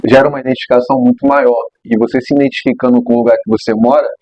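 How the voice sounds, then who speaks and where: A man's voice: medium pitch, flat delivery, very fast, dry recording. A young man from Rio de Janeiro, a podcast host asking questions casually with slang and frequent restarts. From Caju, Rio de Janeiro, Brazil. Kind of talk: casual interview. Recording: slightly dull lo-fi mic.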